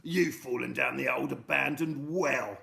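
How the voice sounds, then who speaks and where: A man voicing Mister Gum, a grumpy, boastful old bully in a storybook, talking gruffly and ungrammatically. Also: medium pitch, unhurried, pushed and bright, full character voice.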